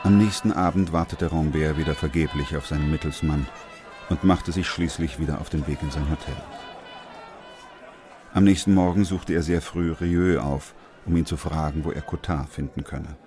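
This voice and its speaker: A sober doctor and chronicler in a literary radio drama. His voice sits exceptionally deep. He narrates in a composed, measured way, as a man recording grim events. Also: fairly even intonation, a little mellow, soft background music.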